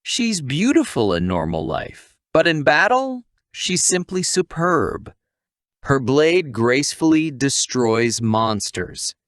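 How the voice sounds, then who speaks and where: A man's voice: fairly high pitch, sing-song, slow pace, fairly bright tone, no room sound, clean studio sound. A man narrating a scripted story recap as a channel host, with playful asides and questions to the viewer.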